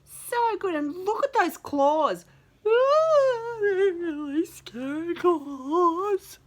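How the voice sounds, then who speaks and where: A woman reading a picture book aloud to young children in an expressive, dramatic way, with casual chatty asides and some hushed delivery. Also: extremely high pitch, drawn-out words. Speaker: children's story reader.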